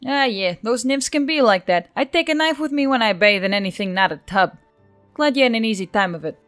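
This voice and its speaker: A woman's voice: fairly high pitch, animated, fairly quick, a bit bright. A woman voicing a friendly, easygoing female centaur in a story reading, casual and upbeat, with music behind. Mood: cheerful, casual.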